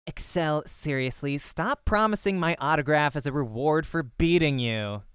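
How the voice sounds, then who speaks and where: A man's voice: fairly high pitch, moderate pace, fairly bright tone, smooth, no room sound, clean studio sound, lightly acted. A man voicing a brash, flippant young woman pro gamer who talks in a curt, interrogating way in a visual novel. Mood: annoyed.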